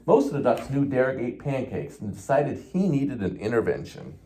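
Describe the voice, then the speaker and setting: A man reads a children's storybook aloud in a mellow, soft-edged voice. His intonation swings widely and expressively through the tale. He occasionally stumbles over a word and carries on. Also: medium pitch, sing-song, moderate pace, a little hiss or hum. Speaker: police officer reading a children's book.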